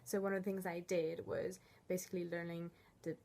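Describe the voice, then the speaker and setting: A woman's voice: on the low side, flat delivery, brisk, dark tone. A young woman whose first language is Italian, giving casual advice on learning languages, hesitant with many ums.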